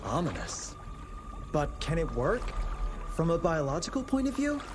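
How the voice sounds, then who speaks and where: A man's voice: fairly high pitch, wildly swooping pitch, unhurried, background noise. An actor playing an earnest, inquisitive man in a video game, questioning and urging. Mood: curious, sceptical. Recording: game music behind.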